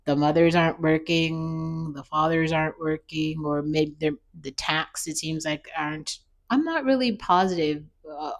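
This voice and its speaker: A woman with an American accent giving casual, opinionated commentary in a rambling, conversational way, as a vlogger talking off the cuff. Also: low-pitched.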